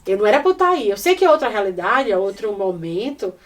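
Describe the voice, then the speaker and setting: A Brazilian woman in her thirties, a guest in a relaxed chat, talking animatedly and acting out little quotes. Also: mid-range voice, quick pace. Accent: Brazilian Portuguese. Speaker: podcast guest. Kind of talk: casual chat. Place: indoors in Ireland. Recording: voice a little distant from the mic.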